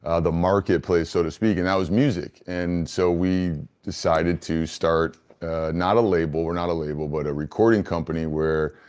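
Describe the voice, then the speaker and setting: A man speaking as an interview guest, answering conversationally in a measured, earnest way. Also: low voice, fairly even intonation, darkish tone, smooth. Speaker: interview guest, business founder.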